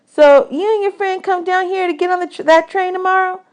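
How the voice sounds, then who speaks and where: A woman voicing a bossy, chatty young girl from a hobo camp in a storybook, with a brisk folksy manner. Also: extremely high pitch, steady tone, pitched above the performer's own voice. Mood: curious.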